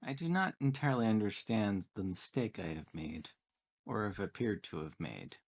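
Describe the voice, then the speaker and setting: A man voicing a stiff, overly formal male caretaker character with measured, literal-minded phrasing, over a voice call mic. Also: fairly low pitch, sing-song, vocal fry, slightly rough, full character voice. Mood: puzzled. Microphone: online voice call mic.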